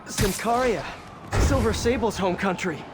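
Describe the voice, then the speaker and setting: A man's voice: high voice, unhurried. An American man playing a wisecracking, chatty young superhero in a video game cutscene. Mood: easygoing banter. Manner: quippy, playful, chatty. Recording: loud background music.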